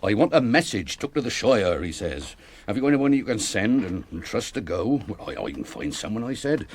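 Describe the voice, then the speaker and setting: An English actor playing a flustered, apologetic fat innkeeper in a fantasy radio drama, bumbling and rambling with a rustic turn of speech. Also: male voice, on the low side, very animated, normal speed, a little hiss or hum. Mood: rambling, sheepish. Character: flustered, apologetic, forgetful fat innkeeper, loyal and well-meaning.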